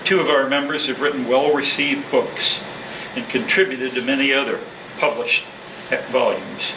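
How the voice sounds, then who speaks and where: A man gives a formal club president's address in a measured way. His voice sits at a middle pitch and comes from well back in an echoey meeting room. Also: fairly even intonation, distant mic. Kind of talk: prepared speech read at a club meeting. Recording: echoey meeting room from afar, noisy hum.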